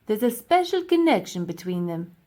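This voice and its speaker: A woman's voice: mid-range voice, very animated, moderate pace, mellow, close to an everyday voice. A woman voicing a pompous, boastful old male professor in a children's storybook, scornful and grandly emphatic. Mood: awed.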